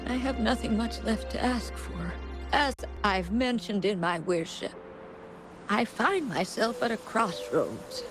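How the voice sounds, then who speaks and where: A woman acting a gentle, grieving old mother in a video game, polite and wistful in an unhurried way. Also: mid-range voice, close to an everyday voice. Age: older. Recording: game music behind the voice.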